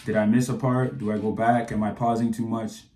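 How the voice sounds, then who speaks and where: A man's voice: lowish voice, little pitch movement, brisk, dark tone, reverberant. A man hosting a reaction video, chatting in a slangy, laid-back and easygoing way. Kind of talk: casual reaction commentary.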